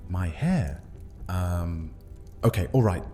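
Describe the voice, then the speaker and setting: An actor playing a suave, predatory vampire in an audio drama, speaking in a theatrical, courtly manner. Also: male voice, low voice, expressive, slow pace, mellow, breathy edge, faint music behind, exaggerated voice. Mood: sheepish, exasperated. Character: suave, menacing male vampire hunter, loyal to his mistress.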